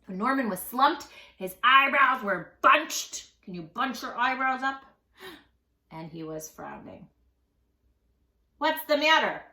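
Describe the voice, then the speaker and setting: A woman reads picture books to young children in an expressive, direct way. Her voice is high and bright with very animated pitch, recorded in a roomy space. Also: measured pace. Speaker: children's read-aloud host and tutor.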